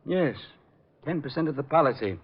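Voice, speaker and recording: Formal radio-drama insurance executive. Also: male voice, a little high, animated, normal speed, breathy edge. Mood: formal, concerned. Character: proper, cooperative insurance company executive. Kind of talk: 1940s radio detective acting. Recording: dull vintage radio recording.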